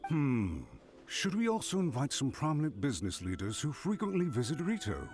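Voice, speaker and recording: A man's voice: fairly high pitch, very animated, normal speed, a little mellow, soft background music. An actor playing a busy, courteous clan man fussing over arrangements in a video game. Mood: preoccupied, polite. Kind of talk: video game character acting.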